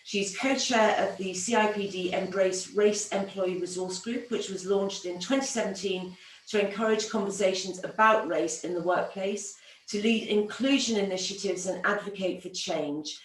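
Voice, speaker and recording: A woman's voice: mid-range voice, moderate pace. A woman hosting an online event, introducing a guest speaker and putting questions to them. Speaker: host and moderator. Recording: roomy, distant microphone.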